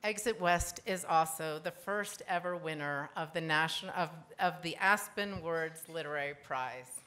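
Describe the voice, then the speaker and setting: A woman's voice: low-pitched, a little slow. A woman presenter giving a prepared introduction speech at a live event. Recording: live event with audience noise.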